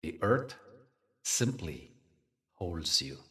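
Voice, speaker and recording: A man leading a guided meditation as a spiritual teacher, speaking in a soothing, deliberate manner with pauses between phrases. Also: low voice, big pitch swings, a bit bright, far from the mic.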